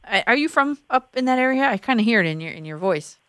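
A woman's voice: a little high, expressive, fast talker, a bit bright, dry recording, clean studio sound. A woman hosting a sports talk radio show, explaining her points conversationally with occasional restarts. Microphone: studio microphone.

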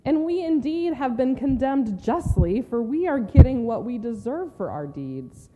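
A woman's voice: fairly high pitch, big pitch swings, measured pace, warm tone. A woman playing a repentant condemned criminal, earnest and pleading, in a dramatic scripture reading. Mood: earnest rebuke. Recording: reverberant hall, faint noise.

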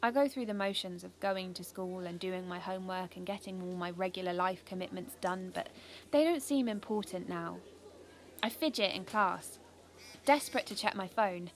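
A woman's voice: fairly low pitch, normal speed, a little hiss or hum, natural voice. A British woman playing an earnest, driven teenage schoolgirl activist, confiding in the listener in an audio drama monologue. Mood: earnest, determined.

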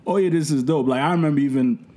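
A young man with a deep voice, a host and podcaster telling stories about his work in relaxed, rambling talk full of slang. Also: fast talker. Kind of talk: casual podcast conversation.

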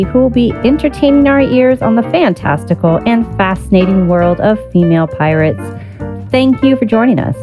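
A woman hosting a podcast interview, chatty and enthusiastic, laughing often. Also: mid-range voice. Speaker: podcast host. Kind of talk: casual interview chat.

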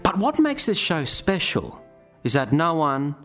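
A man hosts a podcast interview. His pitch moves up and down in an animated way as he presents and asks questions. Music sits lightly behind his voice. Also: unhurried. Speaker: podcast host interviewing guests. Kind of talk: podcast introduction and interview chat.

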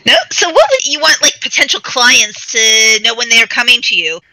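Bright enthusiastic female host. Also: fairly high pitch, animated, normal speed, no room sound, poor audio. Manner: enthusiastic, gushing. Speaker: show host. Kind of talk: upbeat interview hosting.

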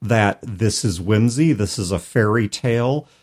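A man's voice: on the low side, unhurried, a little mellow. A man hosting a casual podcast discussion, speaking in an analytical, articulate way and explaining his points through examples. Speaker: podcast host discussing storytelling in role-playing games.

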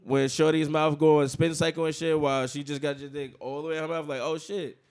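A man with a slightly high voice speaks in casual slang. He is emphatic and gives advice like a regular guy on a podcast panel. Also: flat delivery, fairly quick, darkish tone, clean tone. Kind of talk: casual slangy group chat.

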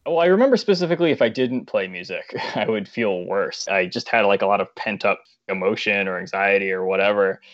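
A man's voice: lowish voice, brisk, few pauses, fairly bright tone, dry recording, highs cut off. A man who is a guest on a podcast, chatting casually and searching for his words with many fillers. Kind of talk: casual interview chat.

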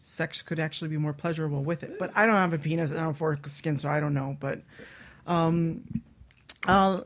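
A woman hosting a casual, explicit panel chat, halting as she searches for her words. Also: sits low. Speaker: talk show host.

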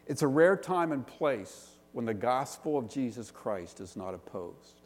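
A pastor from the Pittsburgh area with a Western Pennsylvania accent, preaching earnestly, asking rhetorical questions and stressing slow lists. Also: male voice, medium pitch, very animated, darkish tone. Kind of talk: sermon. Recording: long reverb of a large hall.

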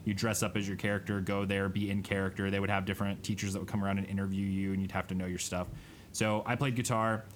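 Low-voiced man telling a rambling story. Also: little pitch movement, quick pace, a little hiss or hum. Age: 30s. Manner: rambling, self-correcting storyteller. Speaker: podcast co-host. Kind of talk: casual chat.

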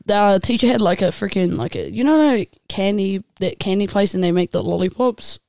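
A young woman co-hosting a casual podcast, chatting in an expressive, giggly way. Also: medium pitch, moderate pace, creaky, dry recording. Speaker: co-host.

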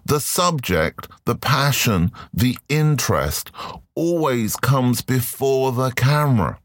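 Male podcast host, voice deepened by illness, lively pitch, dry close sound, reflective and measured. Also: no room sound. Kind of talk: solo podcast monologue.

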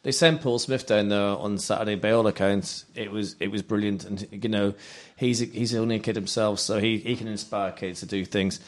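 A man's voice: fairly low pitch, fairly even intonation, moderate pace, hardly any pauses. A man hosting a casual football podcast, teasing his guests and steering the chat. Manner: teasing, jokey, drives the discussion. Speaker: podcast host.

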